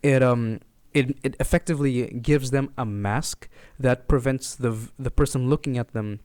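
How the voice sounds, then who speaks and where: A young man chatting casually on a podcast, explaining haltingly and repeating his phrases. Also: medium pitch, steady tone, a little mellow, clear, resonant tone, dry recording, clean studio sound.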